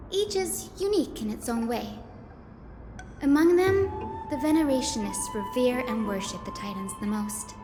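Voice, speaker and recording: An actress voicing a thoughtful, curious young female scholar in a video game, articulate and given to musing explanation. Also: fairly high pitch. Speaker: assistant lecturer at a scholarly academy. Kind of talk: video game character dialogue. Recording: echoey, long reverb, music behind. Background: music.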